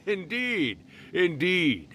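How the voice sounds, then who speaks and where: A boastful, mischievous old fox spirit in a video game. His slightly high voice leaps about with lively, hammy swings, and he savours his words slowly. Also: a little hiss or hum, full character voice. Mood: teasing. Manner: theatrical, hammy villain voice, then genial and teasing. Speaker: yokai spirit character.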